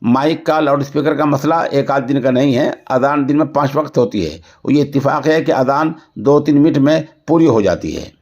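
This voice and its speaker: A man's voice: medium pitch, normal speed, hardly any pauses, darkish tone. Newsman, dull recording. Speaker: news presenter. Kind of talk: news report with commentary, formal Urdu register.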